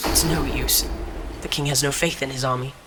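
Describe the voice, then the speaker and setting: Woman acting a brash, low-voiced army general. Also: steady tone, a little fast, noisy background. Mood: bitter, commanding. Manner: blunt, commanding. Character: brash, foul-mouthed female army general. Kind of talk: fantasy audio drama acting.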